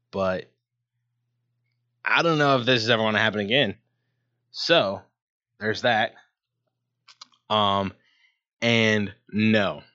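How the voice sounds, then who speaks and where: A man's voice: on the low side, sharp tone. A man hosting his own sports talk show, rambling casually with many ums and restarts. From Ohio, USA. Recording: dull lo-fi sound.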